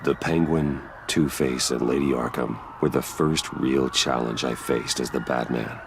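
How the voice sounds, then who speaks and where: A brooding billionaire vigilante for a video game. His voice is extremely low and gravelly, with a guarded, resolute delivery. Clear background noise sits behind the grave, measured words. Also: fairly even intonation, heavily acted. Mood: grave, reflective.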